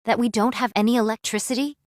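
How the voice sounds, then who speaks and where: A woman acting as an eccentric young android from an anime game, high in pitch and very dry in sound. She speaks with solemn, theatrical grandeur, in archaic and formal turns of phrase. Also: steady tone, fast, no room sound, natural voice. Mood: grave, despairing.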